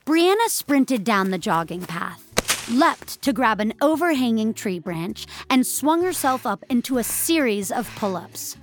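A woman narrating scripted fiction with measured, expressive storytelling. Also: a little high, a little hiss or hum.

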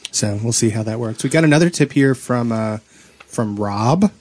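A man's voice: mid-range voice, moderate pace, a little mellow. A man co-hosting a casual gaming chat, with a playful, teasing way of talking. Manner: playful teasing, joking with co-hosts. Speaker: podcast co-host.

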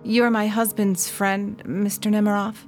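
An actress playing a strange, quiet housewife in a radio drama, speaking softly and detached. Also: female voice, medium pitch, level tone, a little slow, soft background music, lightly acted. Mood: eerily calm. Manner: soft, eerie and detached.

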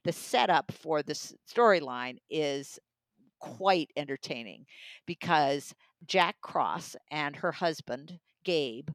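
A woman's voice: deep voice, lively intonation, slow pace, no room sound. A woman talking enthusiastically and emphatically in a conversational book review. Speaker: audiobook reviewer.

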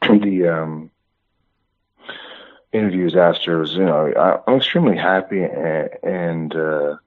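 A man's voice: deep voice, measured pace. A man, a hockey coach, being interviewed over the phone, talking in long rambling runs with many restarts. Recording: tinny phone line.

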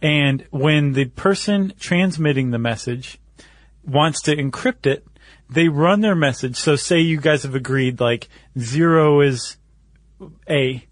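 A man's voice: a little high, slow pace, dry recording. A man co-hosting a casual podcast, explaining things step by step in informal, slangy language. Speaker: podcast co-host.

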